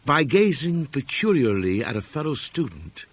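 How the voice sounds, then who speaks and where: A man reading gothic horror fiction as an audiobook narrator, in measured, dramatic phrases that often fall away at the end. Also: mid-range voice, big pitch swings, slight background noise.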